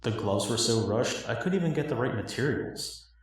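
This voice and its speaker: A man's voice: mid-range voice, expressive, normal speed, distant mic. A man delivering a dramatic scripted monologue in a hobbyist game parody. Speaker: cosplay builder acting in own parody cutscenes. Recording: echoey, roomy recording.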